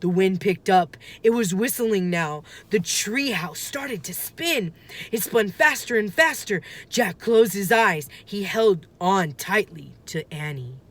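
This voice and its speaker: A woman reading a children's book aloud with expressive, deliberate, dramatic phrasing. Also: medium pitch. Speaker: storybook reader.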